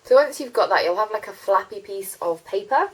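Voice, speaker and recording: A woman's voice: medium pitch, animated, a little fast, slightly rough, rough recording. Bunged-up young woman. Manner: chatty, many ums and likes. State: congested with a cold. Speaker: makeup tutorial host. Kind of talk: casual how-to chat.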